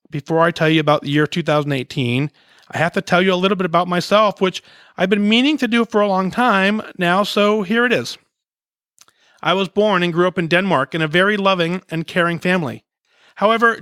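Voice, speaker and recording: A man with a high voice reads a listener's letter on his podcast, earnest and measured, his sentence endings falling soft. The sound is dry and close, with no room around it. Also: no room sound. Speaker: podcast host. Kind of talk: reading a listener's letter aloud.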